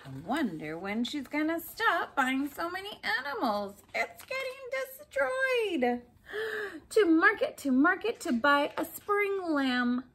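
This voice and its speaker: Female storybook reader, forties, unhurried and very lively in intonation, talking directly to small children. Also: very high voice. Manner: expressive, addressing young listeners with questions and asides. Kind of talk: children's picture book read-aloud. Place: small furnished room at home, indoors.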